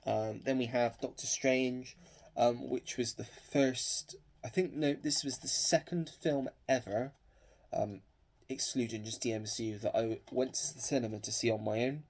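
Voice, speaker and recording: A man's voice: medium pitch, steady tone, measured pace, darkish tone. A young man with a cold, sniffling as he chats hesitantly with lots of ums about his film collection. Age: young adult. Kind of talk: casual collection showcase.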